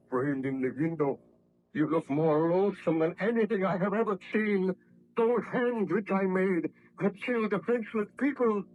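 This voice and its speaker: A man acting a tormented baron of science in a vintage radio horror play. His high-set male voice is intense and declamatory, full of horror at his own creation. The recording is dull and lo-fi, with a husky edge to the voice. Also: natural voice. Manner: declamatory, intense formal speech.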